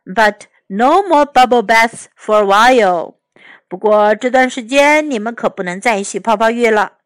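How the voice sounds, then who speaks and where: A woman's voice: on the high side, moderate pace, no echo, natural voice. A woman voicing a firm but good-humored mother in a children's storybook. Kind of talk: children's storybook character reading.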